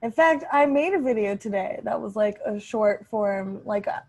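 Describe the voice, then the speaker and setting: A woman's voice: medium pitch, lively intonation, moderate pace, darkish tone. A woman hosting a podcast, chatting casually with a teasing, crude sense of humour. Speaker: podcast host. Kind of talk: casual improvised podcast chat.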